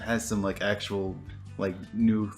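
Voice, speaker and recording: A man with a fairly deep voice in loose, casual conversation. He comes across as a wry, joking co-host, and faint guitar music plays underneath. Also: little pitch movement, a little slow. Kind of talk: casual chat.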